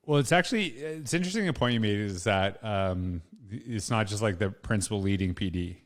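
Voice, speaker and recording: A man's voice: on the low side, fairly quick. A middle-aged Canadian man hosting a podcast in a casual, rambling conversational way, often rephrasing himself. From Canada, small town Saskatchewan. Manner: informal, rambling, self-rephrasing. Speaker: podcast host.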